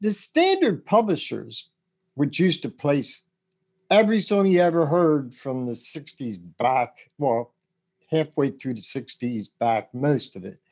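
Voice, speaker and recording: Music biz podcast host guy, rough husky voice, bouncy up and down pitch, rambly. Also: unhurried. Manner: rambling, restarts, animated. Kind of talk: casual advice chat.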